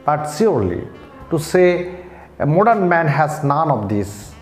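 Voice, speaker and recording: A male lecturer with an Indian Bengali accent speaks in a fairly high voice at a very slow pace. His delivery is formal and deliberate, with halting phrasing, as in a scripted lesson. The room adds a clear echo around his words. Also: big pitch swings, soft background music. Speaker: English literature lecturer. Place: small bare-walled indoor room. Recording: echoey room.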